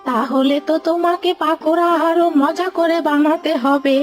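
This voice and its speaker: A woman voicing a kindly old village granny in a cartoon, giving advice in a drawn-out manner. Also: sits high, fairly even intonation, close to an everyday voice. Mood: kindly, advising. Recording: music bed behind the voice.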